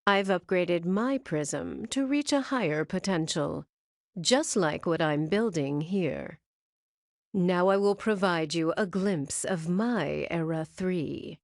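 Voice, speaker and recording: A female gem villain for a cartoon game, cold and superior. She speaks slowly in a slightly low voice, with clinical, condescending precision. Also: expressive, vocal fry, dry recording, studio quality, heavily acted. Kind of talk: animated game cutscene acting.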